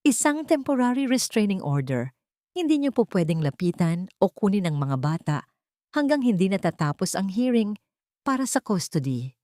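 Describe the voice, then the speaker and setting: A woman's voice: medium pitch, sing-song, moderate pace, dark tone, no room sound, clean studio sound, close to an everyday voice. A woman voicing a polite nurse in a story, formal and deferential. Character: polite nurse bearing bad news.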